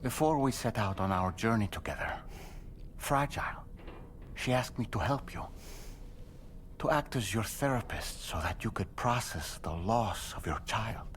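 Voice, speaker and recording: An actor playing a calm, soft-spoken therapist who breaks hard truths gently in a video game cutscene. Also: male voice, on the low side, measured pace, a little hiss or hum. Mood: calm, probing. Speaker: therapist and companion. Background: music.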